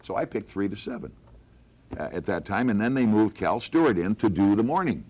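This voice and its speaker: An older American man with a deep voice. He talks as a veteran broadcaster looking back on his career in a group conversation. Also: expressive, a little fast, a little mellow. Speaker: veteran radio announcer and hockey broadcaster.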